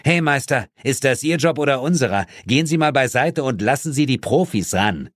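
A cool police inspector played by a male narrator, the no-nonsense partner in an audiobook thriller. The voice sits fairly high and very dry. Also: lively intonation, brisk, no room sound, lightly acted. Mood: firm, brusque.

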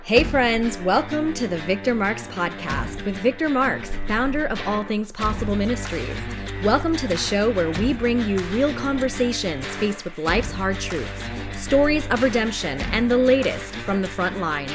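A woman's voice: medium pitch, sing-song, moderate pace, music in the background. A woman reads a scripted podcast intro in an upbeat, polished way. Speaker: show announcer.

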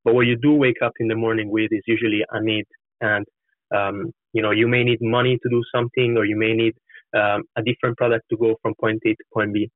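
A man's voice: on the low side, flat delivery, quick pace. A man in his twenties from Italy with an Italian accent, answering interview questions as a startup founder in an earnest, explanatory way. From Florence, Italy. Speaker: startup co-founder, podcast guest. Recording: roomy, narrow muffled call-like sound.

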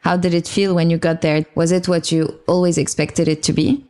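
A woman's voice: lowish voice, level tone, moderate pace, dark tone. A woman hosting a podcast, speaking in introductions and interview questions to a guest.

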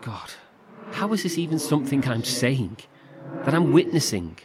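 An actor playing a weary, frightened survivor trapped in darkness, delivering a halting, confiding monologue in a horror audio drama. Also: male voice, sing-song, natural voice. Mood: frightened, desperate. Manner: halting, confiding, with sighs and swearing. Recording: clearly noisy, rumbling ambience.

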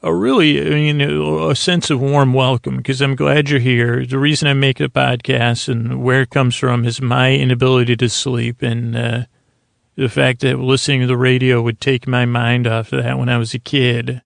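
A middle-aged man with a creaky voice hosts a sleep podcast, giving a gentle, soothing bedtime monologue that meanders, halts and interrupts itself. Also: medium pitch, level tone, normal speed, dry recording.

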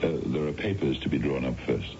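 An actor playing a courtly, quietly seductive investment adviser, formal and measured, in a 1970s radio drama. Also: male voice, very deep voice, background noise. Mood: courteous, reassuring. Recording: dull old radio broadcast.

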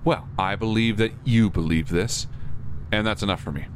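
A man's voice: fairly low pitch, normal speed, natural voice. A man playing an easygoing, skeptical but supportive police officer in conversational audio drama dialogue. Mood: calm, curious. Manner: wry, reassuring, conversational. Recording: clear car and road noise. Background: moving car ambience.

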